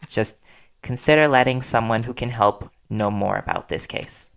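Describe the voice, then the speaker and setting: A man playing a worried, devoted young reporter boyfriend in an intimate audio roleplay, speaking earnestly and soothingly with hesitant pauses. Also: medium pitch, creaky, a bit bright, clear, resonant tone. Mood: earnest persuasion.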